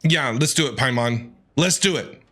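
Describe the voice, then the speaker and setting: A man's voice: medium pitch, moderate pace, fairly bright tone. A man with an American accent giving casual, playful reaction commentary to a game, talking back to its characters. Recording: game music playing behind.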